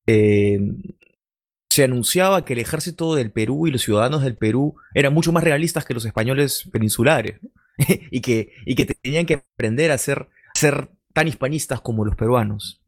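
A man's voice: medium pitch, creaky. A man speaking as a returning podcast guest, explaining his views and often checking in with a questioning tag. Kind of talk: casual chat.

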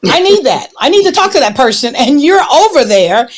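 Host: woman, slightly high pitch, warm-up encouraging questions, animated delivery. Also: a little fast. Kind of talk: conversational interview.

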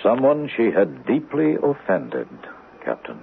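An actor playing an urbane, composed, faintly sinister gentleman doctor in a vintage radio mystery, speaking in a suave, deliberate way. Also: medium pitch, wildly swooping pitch, vocal fry, full character voice. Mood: ominous. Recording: old radio drama, tinny and noisy.

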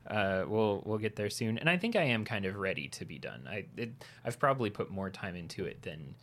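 A man's voice: on the low side, big pitch swings, fairly quick, fairly bright tone. A man co-hosting a casual podcast about video games, talking with frequent restarts and fillers and laughing easily. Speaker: podcast co-host.

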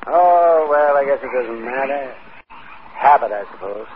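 A man's voice: mid-range voice, animated, measured pace. Dazed spaceman on tinny radio. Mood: dazed, forlorn. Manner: vague, rambling, drifting. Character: dazed, rambling spaceman adrift and dying. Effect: radio filter. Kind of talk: 1950s radio drama acting. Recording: tinny, noisy old radio transmission.

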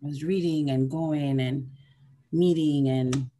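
Expressive woman in her forties, chatting casually. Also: deep voice, takes it slowly. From Venezuela, then New Jersey from age eleven. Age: 40s. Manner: expressive, repeats words, acts out quotes. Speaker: podcast guest, herbalist teacher. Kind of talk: casual conversational storytelling.

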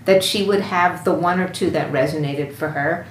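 Very low-pitched woman in an echoey room. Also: moderate pace. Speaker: guest commentator. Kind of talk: unscripted interview commentary. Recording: echoey room with faint noise.

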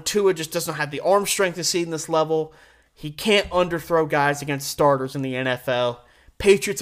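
A man with an American accent and a distinctly high-pitched voice hosts a sports show on his own. He delivers commentary and predictions in a blunt, opinionated way. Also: fairly bright tone. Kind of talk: solo sports commentary with game picks.

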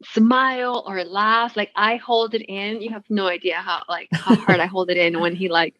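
A woman in her thirties co-hosts a podcast in a relaxed, rambling way, full of "like" and quick laughs. The recording is dry and a bit dull, with little room sound. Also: medium pitch, dry recording. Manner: chatty, filler-heavy, laughs easily. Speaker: podcast co-host. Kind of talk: casual chat.

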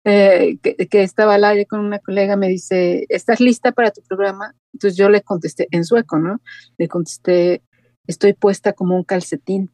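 Lively woman radio presenter, dry studio. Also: medium pitch, moderate pace, dry recording. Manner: lively anecdotal storyteller. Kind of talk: casual radio chat.

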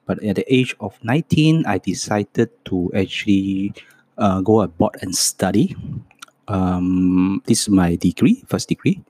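A man in his fifties with a Hong Kong Cantonese accent, a Cantonese native speaker, hosting his first podcast and slowly reading a self-introduction script, soft and halting with many fillers. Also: deep voice, expressive, slightly rough, no room sound. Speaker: podcast host.

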